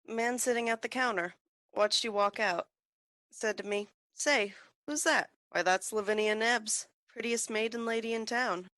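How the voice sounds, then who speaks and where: An actress playing a chatty, careless small-town druggist in a 1940s-style radio play. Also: female voice, fairly high pitch, a little slow, fairly bright tone, no room sound.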